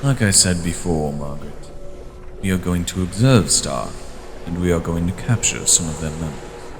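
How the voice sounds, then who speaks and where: A man's voice: deep voice, animated, normal speed. A man playing a deferential, composed vampire scout who speaks formally in a scripted fantasy drama. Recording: long reverb, clearly noisy background.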